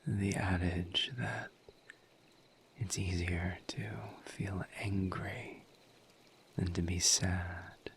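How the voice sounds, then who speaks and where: A man voicing a calm, formal misery demon in an audio roleplay, speaking softly in a breathy near-whisper with measured pauses. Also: sits low, slight background noise, heavily acted. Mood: calm, reflective. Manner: measured, halting, formal diction with long pauses. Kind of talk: audio roleplay, intimate scripted monologue.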